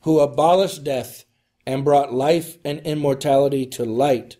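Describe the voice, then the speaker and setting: A man delivering a scripted homily as a preacher, in solemn, deliberate phrases. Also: mid-range voice, mellow.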